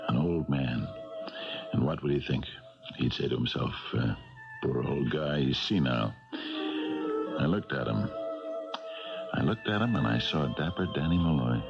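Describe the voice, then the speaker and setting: An actor playing a wry, patient old police sergeant in a 1970s radio drama, musing and hesitant. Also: male voice, very deep voice, lively intonation, creaky, heavily acted. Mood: wistful. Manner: hesitant, deliberate, musing delivery. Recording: dull vintage radio recording with music.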